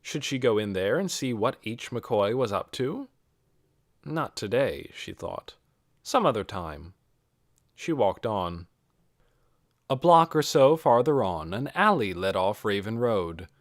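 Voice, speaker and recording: A man reading a children's novel as scripted audiobook narration, in an expressive storytelling manner. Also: fairly low pitch, takes it slowly, dry recording, clean studio sound.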